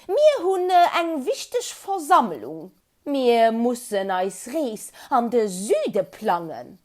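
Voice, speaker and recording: Woman doing a high, chirpy little bird. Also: sing-song, slow pace. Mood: brisk, important. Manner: chirpy, exclaiming. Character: small chirping migratory bird, amused, slightly superior.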